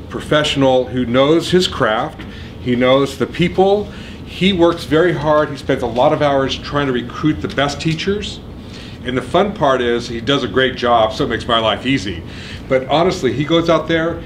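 A man's voice: medium pitch, background noise. Emphatic middle-aged man addressing students. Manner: energetic, emphatic, checks in with okay and right. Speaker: program administrator. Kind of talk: orientation speech. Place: university lecture room.

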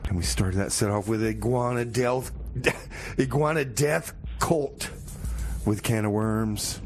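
A man hosting a music show, bantering casually and a little haltingly as he announces songs. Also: on the low side, slight background noise. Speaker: radio music show host. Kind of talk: casual radio banter and song back-announcing.

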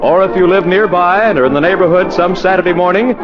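A man's voice: high voice, fast, lightly acted. A man performing as a radio show announcer, reading a script in upbeat 1940s network style. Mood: cordial. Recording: muffled 1940s radio with music, applause.

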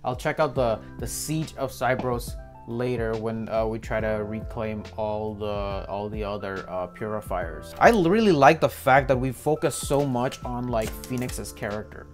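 A man's voice: mid-range voice, moderate pace, faint music behind. A young man giving casual, rambling commentary to viewers as a reaction video host, peppering it with "you know.". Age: young adult. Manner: rambling, repeats filler phrases like "you know". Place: small indoor room with desk and posters.

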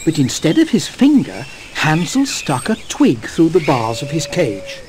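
A man narrating a scripted fairy tale as storyteller, in an expressive manner. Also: on the high side, unhurried, background noise.

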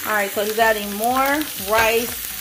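A woman's voice: fairly high pitch, steady tone, a little slow. A woman in her thirties with an American accent, giving casual commentary on a home cooking vlog. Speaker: family vlog host filming. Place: home kitchen, indoors. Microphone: handheld camera or phone, held by speaker. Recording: noisy kitchen with griddle sizzle. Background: frying sizzle, kitchen ambience.

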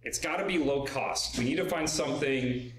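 A man in his thirties giving a live technical talk as an engineer, informal and energetic with many restarts, speaking into a stand mic in a large room. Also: medium pitch, normal speed. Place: large open event room. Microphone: stand microphone at a podium. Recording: roomy live talk audio.

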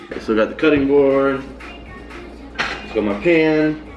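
A man's voice: mid-range voice, measured pace, muffled recording, soft background music. A young man in his twenties, a fitness vlogger, talking casually through his cooking step by step, recorded on a camera mic in a small home kitchen. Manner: plain step-by-step explaining. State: stuffed up with a cold. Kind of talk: casual how-to vlog narration.

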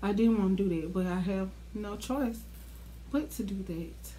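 A woman's voice: mid-range voice, moderate pace, mellow, a little hiss or hum. A young woman with a congested, stuffy voice, chatting bluntly to her viewers in a casual wig review, swearing freely. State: stuffy, congested from a sinus infection. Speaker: beauty vlogger. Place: small dark room at home.

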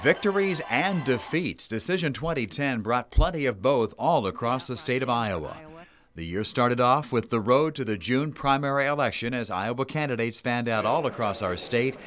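A man's voice: mid-range voice, expressive, normal speed, a bit bright. A man with an American accent reporting and narrating broadcast news.

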